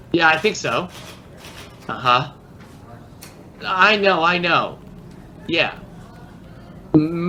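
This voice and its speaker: A man's voice: on the high side, bright, forceful tone, heavily acted. An American man playing a plainspoken police detective in a radio drama, talking casually in short, terse replies. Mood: placating. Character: plainspoken married male police detective, the partner. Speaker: detective's partner. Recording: noisy vintage radio drama recording.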